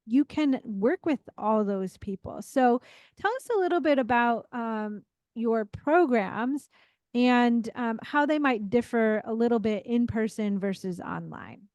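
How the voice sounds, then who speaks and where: A woman's voice: fairly high pitch, measured pace, darkish tone, no room sound, muffled recording. A woman hosting a podcast, interviewing a guest in an encouraging way. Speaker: podcast host, business coach.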